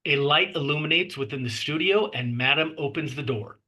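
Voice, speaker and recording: A man's voice: a little high, moderate pace, pushed and bright. A man reading screenplay action lines and scene headings as narrator at a table read. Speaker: stage directions reader.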